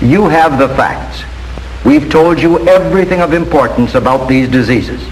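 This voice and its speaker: An American man narrates in the formal style of a 1940s training film. His voice sits a little high, and he speaks in a measured, instructive way. The old film soundtrack carries some noise and hiss. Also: rough recording.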